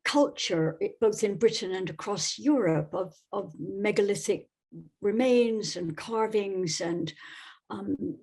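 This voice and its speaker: Woman from Scotland, podcast guest. Slightly husky voice, thoughtful and halting delivery. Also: mid-range voice, darkish tone. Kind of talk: conversational interview answers.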